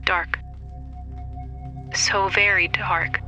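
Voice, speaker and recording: A man acts out a frightened survivor of a deep-sea crew in a horror audio drama. He speaks slowly and haltingly, in fragments, haunted and full of dread. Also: little pitch movement, bright, forceful tone, soft background music, heavily acted. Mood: fearful, halting.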